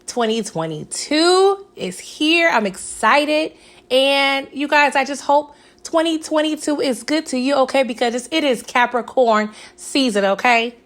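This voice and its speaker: A young woman with a raspy, hoarse voice, chatting casually through a TV episode recap and often asking "okay?". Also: high-pitched, measured pace, a bit bright. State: hoarse from recent illness. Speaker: TV recap vlogger.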